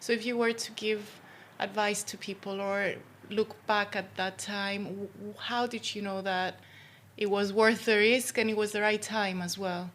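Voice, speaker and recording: A woman hosting a radio show, reading a polished scripted introduction at a measured pace and then asking interview questions at a live podcast recording in a showroom. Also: medium pitch, fairly even intonation, fairly bright tone, a little hiss or hum.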